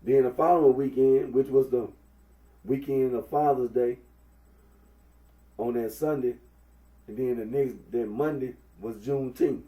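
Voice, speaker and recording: A man's voice: mid-range voice, steady tone, dark tone. Stuffy man talking through a mask. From Memphis, Tennessee. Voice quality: talks through a face mask. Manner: rambling, halting with many uh fillers. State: stuffy head cold. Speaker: vlogger. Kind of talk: casual Facebook Live monologue. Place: indoors at home. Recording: echoey room, mic at a distance.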